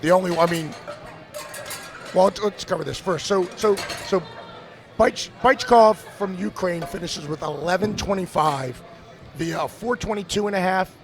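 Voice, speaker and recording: A man's voice: sits high, measured pace, over music. A man doing live sports commentary, blunt and opinionated, often repeating words as he thinks. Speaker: lead commentator.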